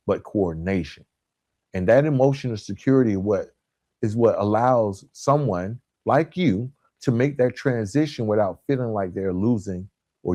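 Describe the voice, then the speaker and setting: Male podcast host, lowish register, close dry sound. Motivational coach delivery, emphatic and direct, repeating key phrases. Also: warm tone, no room sound. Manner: direct, addresses listeners informally.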